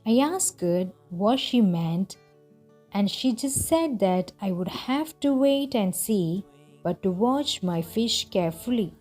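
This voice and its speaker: A woman narrates a storybook read-aloud for language learners. She reads slowly and deliberately, with clear phrasing and a mid-range pitch. Soft music plays faintly behind her voice. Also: animated.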